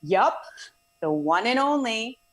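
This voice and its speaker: An actress playing a supportive, candid young best friend in a radio drama, casual and gentle in her delivery. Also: a little high, big pitch swings, slow pace, exaggerated voice. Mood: candid, reminiscing.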